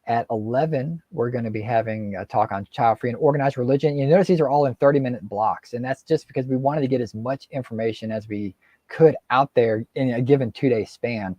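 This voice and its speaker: A man's voice: medium pitch, brisk, mellow, no room sound, highs cut off. A man hosting a video call, easygoing as he walks through a schedule. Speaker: host. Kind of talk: casual video call chat.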